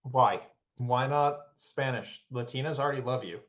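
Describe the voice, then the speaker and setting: A man's voice: mid-range voice, moderate pace. A man co-hosting a podcast, teasing his partner in a dry way. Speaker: podcast co-host. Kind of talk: casual podcast banter. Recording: dull lo-fi mic.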